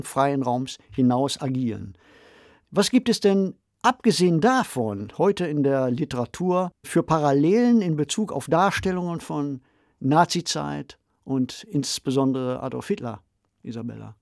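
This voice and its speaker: A man co-hosting an analytical discussion, speaking in a measured way with fairly formal wording. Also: on the high side, a little mellow, no echo, studio quality. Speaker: podcast co-host discussing radio plays.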